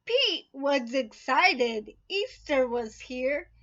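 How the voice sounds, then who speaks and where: A woman reading a children's picture book aloud in an expressive, sing-song way. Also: high-pitched, drawn-out words, highs cut off. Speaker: storybook reader.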